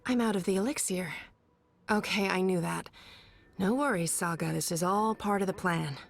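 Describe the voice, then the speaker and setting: A young game heroine, low voice, gravelly, mutters to herself, video game. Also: female voice, moderate pace. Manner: muttering to herself as she works. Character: brisk young woman mixing a potion.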